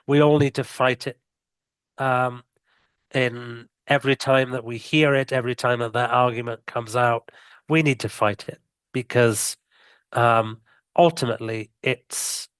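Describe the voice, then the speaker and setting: A man's voice: mid-range voice, fairly even intonation, measured pace, fairly bright tone, clear, resonant tone, no echo. A man on an online teachers' panel who speaks earnestly and emphatically. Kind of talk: casual online panel chat.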